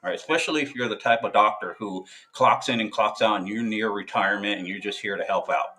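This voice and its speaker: Man, veteran, lowish voice, halting, lots of fillers, blunt, swears. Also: bright, forceful tone. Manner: halting, hesitant, with many filler sounds and restarts; blunt and profane. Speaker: military veteran. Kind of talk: casual monologue to camera.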